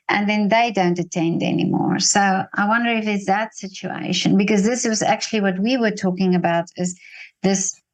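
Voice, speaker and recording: A woman's voice: medium pitch, low-quality recording. A woman interviewing in a casual, conversational way, thoughtful and hesitant as she builds her point. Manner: hesitant, thoughtful, halting phrasing.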